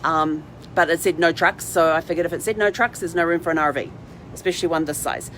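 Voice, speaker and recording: A woman's voice: low voice, fast talker, a bit bright, slight background noise. A woman talking casually and informally in a chatty, rambling livestream vlog style. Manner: chatty, informal, talks to her dog in asides. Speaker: vlog host. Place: inside a parked RV.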